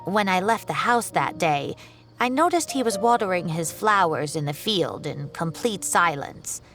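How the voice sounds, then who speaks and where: A woman voicing a genteel, worried older female professor in a video game, with gently fretful exclamations. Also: on the low side, expressive, measured pace, faint music behind. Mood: concerned, recounting.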